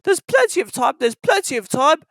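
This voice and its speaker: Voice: high-pitched, big pitch swings, moderate pace, fairly bright tone, dry recording, clean studio sound, pitched above the performer's own voice, exaggerated voice. A storybook narrator voicing cross, nagging railway coaches with repetitive, scolding phrases.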